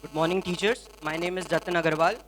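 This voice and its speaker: A man's voice: fairly high pitch, steady tone, fast talker, a bit bright, a little hiss or hum, rough recording. A young man with an Indian accent politely introducing himself, with some fillers. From Lucknow, India. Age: young adult.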